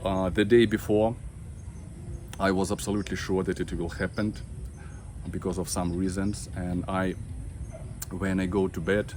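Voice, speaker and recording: A man in his thirties with a Russian accent, talking to camera about his family, halting with many fillers and restarts. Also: low voice, flat delivery, a little mellow, a little hiss or hum. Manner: halting, many fillers and restarts, simple non-native grammar. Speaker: vlogger. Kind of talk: personal talk to camera.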